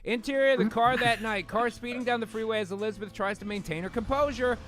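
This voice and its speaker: A man with a very high voice narrates a comic radio drama. He reads stage directions loosely and with amusement, as at a live table read, over background music. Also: fast, fairly bright tone.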